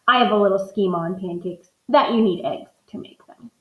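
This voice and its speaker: A woman's voice: medium pitch, brisk, roomy sound, highs cut off, slight background noise. A woman teacher doing a read-aloud lesson, thinking aloud as she models inferences from the pictures for her students.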